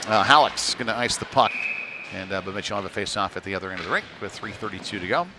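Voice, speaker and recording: A man giving halting, conversational live play-by-play of a youth hockey game in a folksy manner. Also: lowish voice, expressive, over music. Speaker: play-by-play commentator. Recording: noisy live rink stream.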